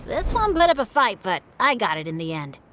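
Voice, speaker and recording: Animated, boyish young adventurer. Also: female voice, fairly high pitch, a little fast, a little hiss or hum. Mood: sheepish pride. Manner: confident, good-natured. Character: earnest, eager, unlucky young male adventurer. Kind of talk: animated video game voice acting.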